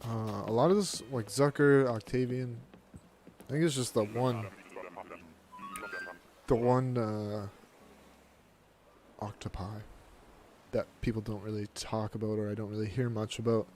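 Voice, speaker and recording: A man's voice: fairly low pitch, fairly even intonation, measured pace, long pauses, a little mellow, soft background music. A man streaming a video game live, chatting casually and answering viewers' comments aloud in a laid-back way.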